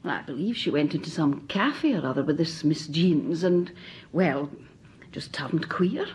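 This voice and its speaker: A polite, helpful young woman in a vintage radio play. She speaks with a lively, varied pitch. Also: unhurried. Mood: concerned. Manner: polite, concerned. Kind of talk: 1940s radio acting.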